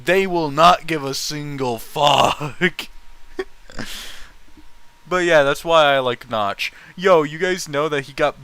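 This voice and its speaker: A man's voice: fairly high pitch, slow, long pauses, sharp tone, clean tone, no echo. A man in casual, opinionated stream chat, talking bluntly and swearing freely.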